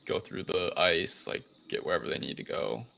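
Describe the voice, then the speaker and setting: A man hosting a casual film discussion, recapping the plot in a loose, halting way with plenty of filler phrasing. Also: deep voice, animated, vocal fry, sharp tone. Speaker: podcast host recapping a film.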